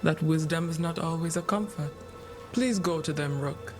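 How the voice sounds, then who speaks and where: Man acting grave mystic seer in a fantasy game, high voice, measured cryptic talk, music under it. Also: natural voice. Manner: solemn, measured, oracular phrasing. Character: solemn Qunari seer, vessel for spirits, cryptic and grave. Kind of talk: video game dialogue.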